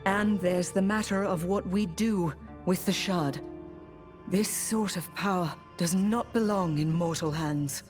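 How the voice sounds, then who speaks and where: A woman acts out a solemn necromancer for a fantasy game. Her low voice moves slowly and deliberately, cool-headed and restrained. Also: darkish tone, faint music behind, lightly acted. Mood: grave. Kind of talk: dramatic video game voice acting.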